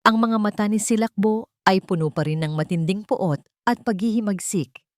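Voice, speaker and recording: A woman narrating a scripted story in an earnest, measured and emotive way. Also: mid-range voice, dry recording, studio quality. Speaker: audiobook narrator.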